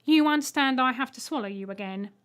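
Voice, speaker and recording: A woman's voice: sits high, brisk, a bit bright, smooth, voice pushed up. A small male robot egg from a storybook , acted out by a woman, cheerful and oblivious. He explains things in a chirpy, matter-of-fact way and is eager to oblige.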